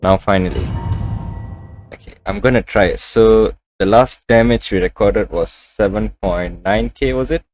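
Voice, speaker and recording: A man doing casual live gameplay commentary as a streamer, haltingly thinking out loud. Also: on the low side. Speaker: gaming streamer. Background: game music.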